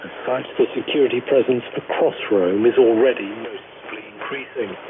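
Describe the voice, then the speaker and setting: A male news correspondent reads a scripted report in a medium-pitched voice. The sound is squeezed into a narrow shortwave band. A crowd is clearly audible behind him. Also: brisk.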